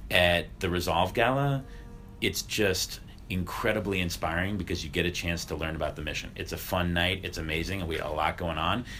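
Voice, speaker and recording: A man's voice: low voice, brisk, sharp tone, a little hiss or hum. A man hosting a casual chat in an upbeat, enthusiastic way. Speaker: podcast host, charity staff.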